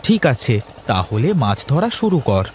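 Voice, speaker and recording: A man's voice: mid-range voice, big pitch swings, normal speed, a little mellow, slight background noise, natural voice. A man voicing a bossy, grumbling but doting village husband in a cartoon. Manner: bossy, emphatic. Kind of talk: cartoon voice acting.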